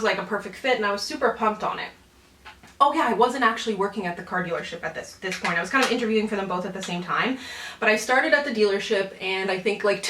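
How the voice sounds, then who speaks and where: A woman's voice: medium pitch, a bit bright, slight background noise. Roomy-sounding young woman, chatty beauty vlogger. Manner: informal, self-deprecating, giggly asides. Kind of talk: casual chatty get-ready-with-me storytelling. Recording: roomy echo, distant mic.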